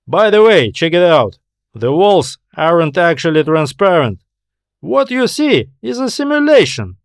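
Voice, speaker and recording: A breezy castaway man, as a male narrator plays him in a sci-fi audiobook. He talks in a wry, slangy, offhand way, with his pitch lifted. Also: sing-song, a little slow, no echo, studio quality. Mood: sardonic, casual. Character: Lucas, breezy sardonic tall castaway man. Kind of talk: audiobook character dialogue.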